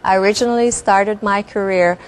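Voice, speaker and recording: A woman's voice: mid-range voice, fairly even intonation, brisk, low-quality recording. A woman from Belgium, answering interview questions in an earnest, articulate and considered way. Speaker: interview guest, nonprofit executive director.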